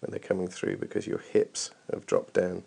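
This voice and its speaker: A man's voice: sits low, monotone, moderate pace, vocal fry, mellow, breathy edge. A man giving informal running technique feedback over a training video as a coach, thinking aloud in a hesitant way.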